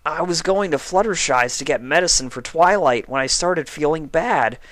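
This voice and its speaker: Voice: medium pitch, expressive, moderate pace, a bit bright, no echo, pitched above the performer's own voice, close to an everyday voice. A performer voicing a sheepish, earnest young male cartoon pony in a hesitant, boyish manner. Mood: confused, apologetic.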